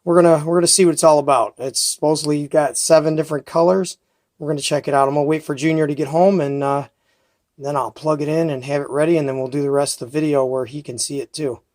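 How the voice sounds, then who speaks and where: A man's voice: a little high, fairly even intonation, quick pace, darkish tone. A man hosting a casual radio review, talking in an enthusiastic, folksy, rambling way while filming at home on a handheld camera mic. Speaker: host of a CB radio review channel. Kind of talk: casual product review and unboxing.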